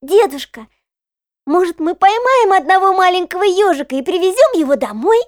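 An actress playing a curious, eager little granddaughter in a children's audio play, asking questions in a polite, childlike way. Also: female voice, extremely high pitch, big pitch swings, moderate pace, dry recording, studio quality.

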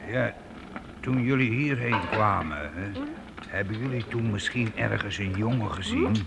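An actor in a radio play, playing a simple, kind-hearted castle gatekeeper who talks in a hesitant, folksy, confiding way. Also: male voice, lowish voice, unhurried, vocal fry. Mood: anxious, hesitant. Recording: noisy old radio recording.

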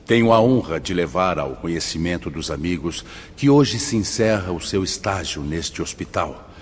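A man's voice: low voice, expressive, moderate pace. A male actor in a radio drama playing a kindly, solemn spiritual leader with formal, oratorical delivery. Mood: calm, reassuring. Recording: long reverberant hall, noisy background.

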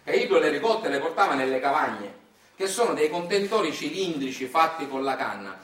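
A fairly high-voiced man with a Sicilian accent tells stories in a theatrical monologue. His manner is folksy and colloquial, peppered with dialect. He is some way from the mic in a heavily reverberant space. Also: very animated, normal speed, a bit bright, slight background noise. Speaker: stage storyteller. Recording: very echoey room, distant mic.

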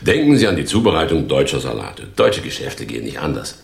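A washed-up actor hustler in a radio play, deep creaky voice, smooth sales patter, roomy sound. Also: male voice, big pitch swings, brisk, exaggerated voice. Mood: persuasive. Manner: theatrical, smooth-talking, persuasive salesman. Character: grandiose down-and-out former stage actor posing as fugitive businessman. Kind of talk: German radio play acting.

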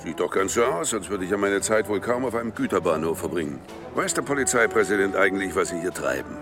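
Deep-voiced, suave crime boss. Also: male voice, animated, fast, over music. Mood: mocking. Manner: smooth, formal, ironic politeness. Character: suave, self-assured crime boss, mockingly courteous.

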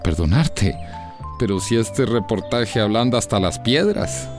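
An actor playing an earnest, reserved man in love in a radio soap, halting, with a Central American Spanish accent. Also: lowish voice, lively intonation, over music. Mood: moved, sheepish. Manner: halting, hesitant. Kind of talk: radio soap opera acting.

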